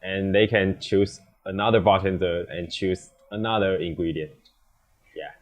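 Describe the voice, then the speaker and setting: Low-voiced young Taiwanese man, halting speech. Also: fairly even intonation, highs cut off. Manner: halting, hesitant non-native English. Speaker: bartender, competition contestant. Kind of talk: casual interview answers.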